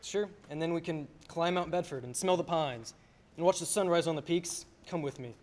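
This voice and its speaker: A man's voice: a little high, moderate pace, darkish tone, close to an everyday voice. An actor playing a restless, good-hearted young man in a radio play, folksy, hesitant and wry. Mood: eager, dreamy.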